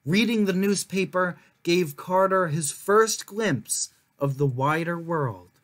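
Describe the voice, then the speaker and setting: A young man reading a children's picture book aloud as a storyteller, in measured, clearly phrased narration. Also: sits high, a bit bright. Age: young adult. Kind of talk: scripted narration, story time read-aloud.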